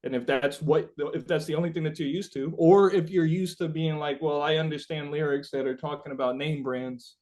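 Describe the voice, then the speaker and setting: A man's voice: a little high, steady tone, a little fast, few pauses, a little mellow. A man being interviewed as a musician, chatting casually and reflectively, searching for his words with informal, sweary phrasing.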